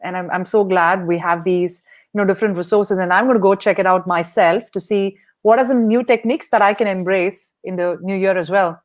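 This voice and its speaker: Upbeat woman hosting a podcast, mid-pitched voice, cheers on her guest. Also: steady tone, fairly quick. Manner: upbeat and encouraging. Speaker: podcast host. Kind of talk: interview hosting.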